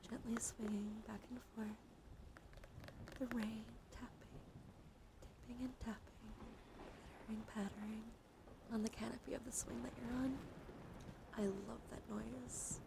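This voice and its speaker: Female ASMR streamer: soothing, intimate, partly whispered, fry in the voice, meandering chat, noticeable noise on the recording. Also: fairly high pitch, steady tone, a little slow, pauses often. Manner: soft-spoken, soothing, meandering with gentle repetition.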